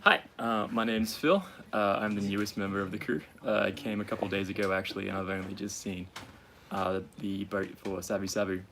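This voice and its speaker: A man in his twenties from Australia with an Australian accent, introducing himself in an easygoing way with many filler pauses. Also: fairly low pitch, fairly even intonation, a bit bright, a little hiss or hum. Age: 20s. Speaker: new crew member, nurse. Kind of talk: casual self-introduction.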